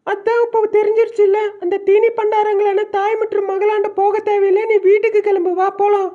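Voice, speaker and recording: A woman's voice: very high voice, little pitch movement, a little fast, warm tone, dull audio. A woman voicing a small female cartoon bird with a blunt, complaining, nagging manner. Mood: blunt, scolding. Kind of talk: Tamil moral-story cartoon dub.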